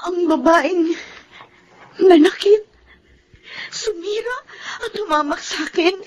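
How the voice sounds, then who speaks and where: A woman's voice: very high-pitched, slow pace, a little mellow. An actress playing an earnest, emotional young woman in a Filipino radio drama, with a Filipino accent. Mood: tearful, shocked.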